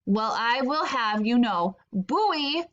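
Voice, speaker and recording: A small, furry male rabbit from a storybook, acted out by a woman reader. He is rude and haughty, talking in a bright, snippety way. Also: on the high side, animated, slow, dull audio.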